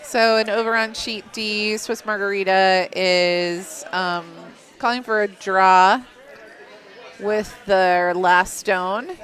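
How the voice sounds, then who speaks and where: A woman's voice: medium pitch, fairly even intonation, a bit bright. A woman from Iowa doing light, easygoing color commentary on a curling match and laughing easily. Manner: light, laughs easily, quick agreeing remarks.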